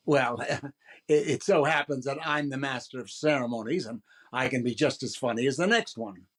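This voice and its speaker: A man's voice: medium pitch, moderate pace, a bit bright, lightly acted. An actor playing a genial male master of ceremonies on a 1930s Hollywood radio show, with polished, good-natured patter. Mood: flustered, good-humored.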